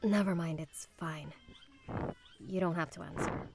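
Low, gravelly, sardonic tough girl. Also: measured pace, music in the background. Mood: casual. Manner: deadpan, sardonic. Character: blunt, sarcastic, tough teenage girl roommate. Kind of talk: visual novel voice acting.

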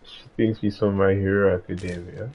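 A man's voice: sits low, flat delivery, normal speed, husky, muffled recording. Chatty male gaming streamer. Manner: chatty, laughs and talks aside to someone in the room. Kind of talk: casual let's-play commentary.

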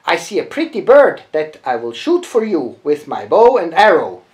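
A man's voice: fairly high pitch, huge pitch swings, moderate pace, a little mellow. A man voicing a cajoling, pleading male jackal in an African bedtime folk tale. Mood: urging.

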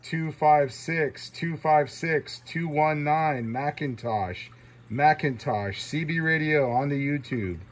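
A man's voice: on the high side, slow pace. A man working as a CB radio operator, making repetitive call-outs in short clipped phrases of call signs. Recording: noisy, radio static hiss.

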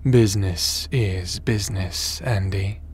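A man playing a cold, scheming crime boss in a sci-fi audio drama, speaking in a menacing, measured, smug and theatrical way. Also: deep voice, slightly rough, heavily acted. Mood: cold, unapologetic.

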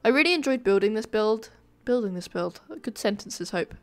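A woman narrates a gaming video in a casual, self-effacing way. Her mid-pitched voice is nasal and a little flat, as she is ill with a sore throat. She thinks aloud informally as she goes. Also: moderate pace, soft background music. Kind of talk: casual speed-build commentary, chatty voice-over.

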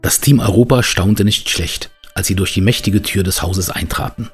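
An actor as a male narrator of an adventure audio drama. His voice is deep and he tells the tale in measured, scripted storybook sentences over soft music. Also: slightly rough. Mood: measured storytelling.

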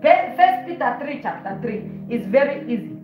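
Woman, 40s, home preacher, emphatic and repetitive; high-pitched, echoey lo-fi room sound. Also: sing-song, moderate pace, over music. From Zambia. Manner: emphatic, repeats words, moves about while preaching. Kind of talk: fiery home sermon. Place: living room of a house, indoors. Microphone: phone camera on a stand at a short distance. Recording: roomy, dull lo-fi phone audio.

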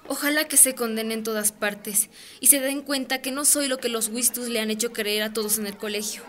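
A woman's voice: on the high side, steady tone, brisk, a bit bright, a little hiss or hum, close to an everyday voice. A woman playing an earnest, indignant teenage student in a radio drama, talking in a casual youthful way. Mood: hopeful.

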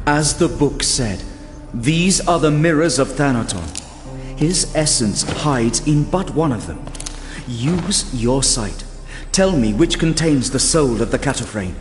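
Man playing a slow, solemn elven lord in cavernous reverb. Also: mid-range voice, lightly acted. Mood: stern, commanding. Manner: measured, formal, grave. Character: proud, stern male elven lord, solemn and commanding. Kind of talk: audio drama acting. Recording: long reverb, ambient noise behind.

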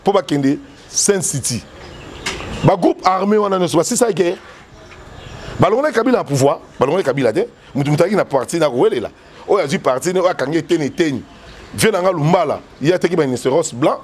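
A man's voice: fairly high pitch, animated, fairly quick, a little hiss or hum. A middle-aged man with a Congolese accent, a former soldier and politician, talking emphatically in an interview. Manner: emphatic, gesturing, switching French and Lingala. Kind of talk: animated political talk. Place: indoors by a yellow wall. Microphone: furry handheld mic held close.

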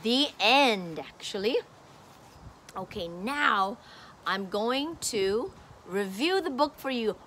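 Slow, bright-voiced woman teacher. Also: fairly high pitch, a little hiss or hum. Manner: slow, simple, encouraging teacher talk. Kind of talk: slow read-aloud lesson for a child. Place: outdoor patio by a brick wall. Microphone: phone camera at arm's length.

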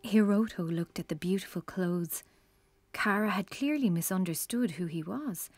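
A woman's voice: medium pitch, moderate pace, a little mellow, no echo. A woman narrating a scripted children's story in a storytelling style. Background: music.

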